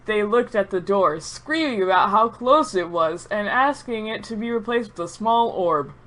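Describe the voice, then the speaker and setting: A woman's voice: mid-range voice, lively intonation, moderate pace, a bit bright. Female reader, mock-grave storytelling of absurd fan fiction, prone to giggling. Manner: mock-serious storytelling, often breaking into giggles.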